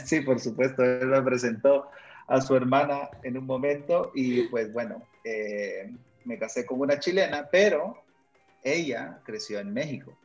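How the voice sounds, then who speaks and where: A man's voice: medium pitch, a little slow, muffled recording, soft background music. A man in his thirties, raised in Honduras, a missionary and pastor telling his life story casually with frequent fillers. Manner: chatty, many "ah" fillers, laughs easily. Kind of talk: relaxed personal storytelling.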